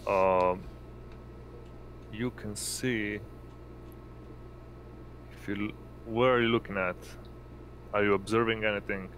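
Man, low pitch, halting game master narration, unhurried, faint background noise. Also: steady tone, fairly bright tone. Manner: halting, searching for words. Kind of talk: narrating a tabletop roleplaying game.

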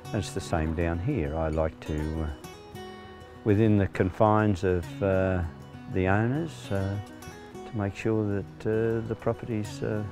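A man in his sixties with an Australian accent, a farm manager talking plainly outdoors, with many pauses and fillers. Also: on the low side, steady tone, music in the background. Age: 60s. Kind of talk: on-location interview. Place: outdoors on a rural farm paddock.

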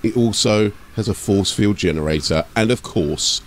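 A man's voice: on the low side, moderate pace, a little hiss or hum. A man narrating from a script as an audiobook storyteller and host.

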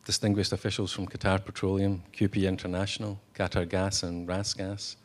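A man giving a conference speech as a company executive, halting, with many fillers and restarts. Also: deep voice, flat delivery. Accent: non-Texan. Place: hotel conference room.

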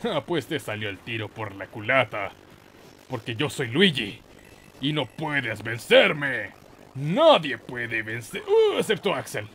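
A man's voice: medium pitch, very animated, takes it slowly, a bit bright, a little hiss or hum. A man giving casual, slangy, mock-boastful gaming commentary with some swearing. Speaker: gameplay host.

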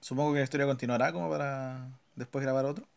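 A man's voice: medium pitch, fairly even intonation, quick pace, creaky. A man with a Chilean Spanish accent giving casual, slangy live gameplay commentary, talking partly to himself as a gaming video host. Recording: game music behind. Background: video game music.

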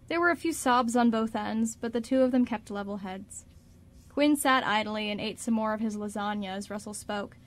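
A woman reading scripted narration for a radio drama. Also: a little high.

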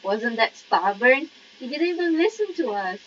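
A woman's voice: sits high, very animated, slow pace. A woman giving casual, off-the-cuff reaction commentary as she plays a game. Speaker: game streamer. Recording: dull microphone, faint background noise.

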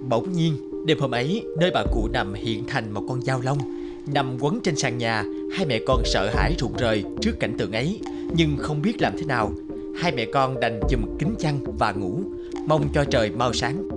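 A man tells a folk tale as a narrator. His delivery is dramatic and highly animated in pitch, with music playing clearly behind him. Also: moderate pace. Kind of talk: storybook narration.

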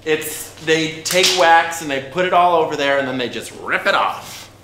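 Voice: medium pitch, animated, normal speed, noisy background, lightly acted. A performer playing a sassy, theatrical fashion photographer with a New York accent. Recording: echoey room.

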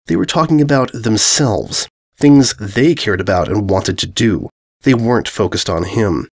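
A man narrating scripted fiction in a dramatic reading style. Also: lowish voice. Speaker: story narrator.

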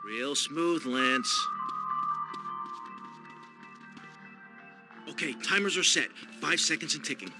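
An actor playing a tough, commanding, short-tempered gangster boss in a video game, speaking in a curt, bossy way. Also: male voice, fairly high pitch, animated, normal speed, loud music behind the voice. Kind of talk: video game cutscene acting.

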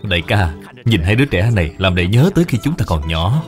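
An actor playing a devoted, earnest younger brother, a courteous scholar-official in an animated film of ancient China. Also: male voice, deep voice, a little fast, music in the background. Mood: warm, respectful.

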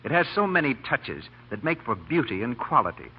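Husky 1940s American radio announcer man. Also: medium pitch, big pitch swings, a little fast. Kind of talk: 1940s radio advertising pitch. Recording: dull old 1940 radio recording.

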